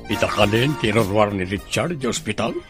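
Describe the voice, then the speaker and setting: A man's voice: on the low side, expressive, a little fast, fairly bright tone, faint music behind. An actor with an Ilocano Filipino accent playing a stern, skeptical household father in a radio drama. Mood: questioning.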